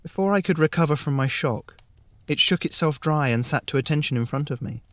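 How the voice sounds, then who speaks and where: A man narrating a scripted fairy tale in the first person, measured and with dramatic pauses. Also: fairly high pitch, fairly bright tone, no room sound. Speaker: story narrator.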